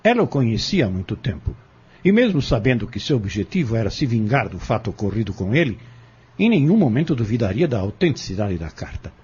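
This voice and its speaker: Guy playing a scheming vengeful man on the stand in a radio play, really raspy, voice swings up and down. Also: mid-range voice, sing-song, brisk, a little mellow. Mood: cold, calculating. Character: cunning vengeful male defendant confessing in court. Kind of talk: audio drama acting. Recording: dull lo-fi audio.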